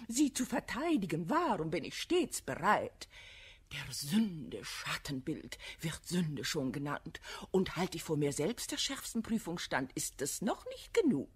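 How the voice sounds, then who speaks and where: Prudish society lady for verse radio play: low, very husky, affected, falsely concerned. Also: very animated, heavily acted. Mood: sanctimonious reproach. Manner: pious, affected, drawn-out insinuating delivery.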